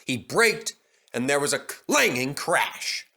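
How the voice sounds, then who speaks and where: A man narrates a children's storybook from a script. His pitch sits in the middle and moves about in a very animated way. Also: takes it slowly, vocal fry.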